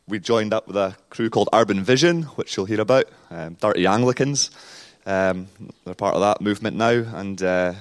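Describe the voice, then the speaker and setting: A man's voice: lowish voice, clear, resonant tone. Scottish-born man with a Kiwi accent. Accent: New Zealand with Scottish traces. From Scotland. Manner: rambling and self-deprecating, with many fillers. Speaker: pastor and interview guest. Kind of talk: casual interview talk in a church service.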